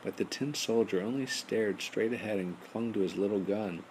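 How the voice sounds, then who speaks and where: A man narrating a children's bedtime story in a measured storybook style, as an audiobook reader. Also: fairly low pitch, darkish tone. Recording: noisy amateur home recording.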